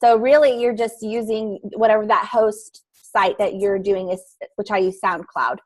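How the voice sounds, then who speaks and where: A woman's voice: medium pitch, steady tone, moderate pace. A woman in a video call, explaining how to start a podcast in a rambling, casual way, often searching for words. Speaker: podcast guest speaker. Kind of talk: casual how-to chat in an online meeting.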